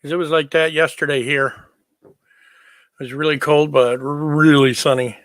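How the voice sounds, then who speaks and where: Casting: easygoing male streamer, lighter-pitched voice, casual chat with viewers, very dry room sound. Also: fairly even intonation, a little slow, fairly bright tone, dry recording. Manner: easygoing, informal. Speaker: livestream host.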